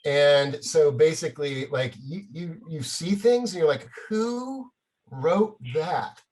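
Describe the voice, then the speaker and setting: A man's voice: on the high side, slow. Middle-aged man telling stories, self-deprecating. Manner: animated, self-deprecating storytelling with chuckles. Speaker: playwright. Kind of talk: casual spoken anecdote.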